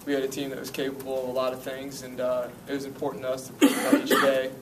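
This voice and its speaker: Monotone young man at a press table. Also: mid-range voice. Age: young adult. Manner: steady, measured team talk with "uh" fillers. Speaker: college basketball player, senior. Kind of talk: postgame press conference answers. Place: press conference room. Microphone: table mic, picked up from a distance. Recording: distant mic, noisy room.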